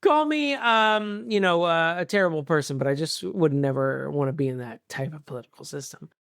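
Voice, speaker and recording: This is a podcast co-host talking casually about movies. The delivery is animated and jokey, full of exclamations and asides. The pitch sits in the middle, and the sound is dry with very little room. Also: a little fast, gravelly, no echo. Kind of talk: casual movie discussion chat.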